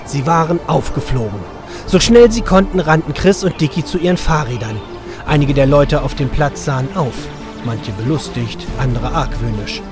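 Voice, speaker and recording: A man's voice: a little high, expressive, normal speed, over music. A man reading scripted narration as the narrator of a children's audio drama.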